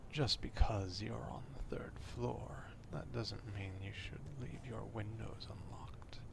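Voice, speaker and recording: A man as a slow, gravelly, whispering vampire stalker. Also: lowish voice, noisy background. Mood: smitten, creepy. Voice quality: hushed, breathy half-whisper with gravelly fry. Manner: slow, deliberate, formal archaic wording. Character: male vampire stalker, old-fashioned, obsessive, quietly menacing. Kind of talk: ASMR roleplay monologue.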